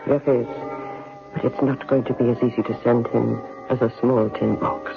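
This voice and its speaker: An actress playing a devoted, resourceful general's wife in an old-time radio drama, with an earnest, measured manner. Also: female voice, rumbling low, fairly even intonation, gravelly. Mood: careful, puzzled. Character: devoted, resourceful French general's wife, anxious but practical. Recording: tinny, band-limited old radio broadcast, music.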